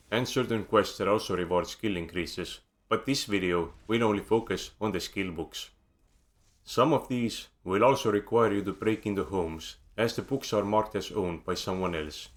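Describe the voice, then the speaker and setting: Low-voiced man with an Estonian accent. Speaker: video game guide narrator. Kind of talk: scripted voice-over narration.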